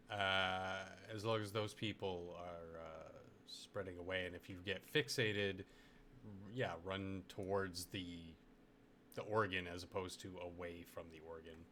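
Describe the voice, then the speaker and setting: Guild member, lowish bright voice, casual, stops to search for words. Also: male voice, slight background noise. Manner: halting, searches for words aloud. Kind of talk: casual gaming voice chat.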